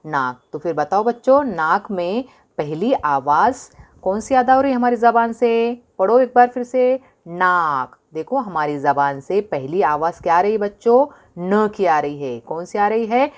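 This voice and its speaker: A woman teaching a recorded Urdu lesson to young children, with an Indian Urdu accent, asking questions and answering them herself, stretching and repeating words slowly. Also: mid-range voice, lively intonation. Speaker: primary school Urdu teacher.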